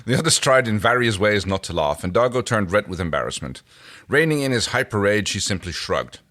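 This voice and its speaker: A man's voice: lowish voice, sing-song, brisk, a bit bright. A man co-hosting a podcast, reading fan fiction aloud and talking casually. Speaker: podcast co-host and reader.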